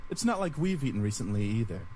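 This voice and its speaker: Young male incubus played by a man, visual novel: courtly, suggestive, very animated delivery, some background noise. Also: medium pitch, fast talker, voice pushed down, natural voice. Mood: gentle. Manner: smooth, flattering, suggestive.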